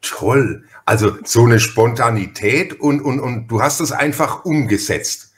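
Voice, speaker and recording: A man's voice: mid-range voice, a little slow. A man hosting a podcast interview in a casual, chatty and wordy way, often interrupting himself and repeating words.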